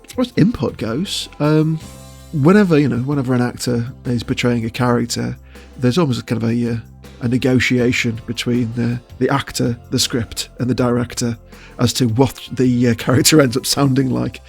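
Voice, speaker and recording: A man's voice: mid-range voice, fairly even intonation, normal speed, vocal fry, soft background music. A man giving casual interview answers as a voice actor, choosing his words with hesitation and often chuckling.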